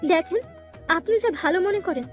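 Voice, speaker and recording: A woman's voice: very high-pitched, moderate pace. A woman acting a frank, questioning sister-in-law in a family audio story. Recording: faint music behind.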